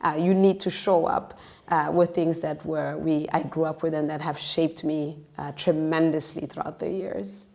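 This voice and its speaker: A woman born in Senegal and raised in the United States, speaking reflectively as a podcast guest, with halting, thoughtful phrasing. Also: low-pitched, fairly even intonation, vocal fry, darkish tone. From born in Senegal, grew up in the United States. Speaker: interview guest, entrepreneur. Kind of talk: reflective personal podcast conversation.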